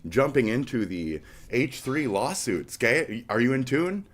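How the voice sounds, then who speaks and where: A man's voice: mid-range voice, very animated, measured pace. A man hosting a live stream, stuffy from a snotty nose, in a profane, rambling talk that slides into half-rapped riffs. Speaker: streamer host. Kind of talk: casual live-stream rant.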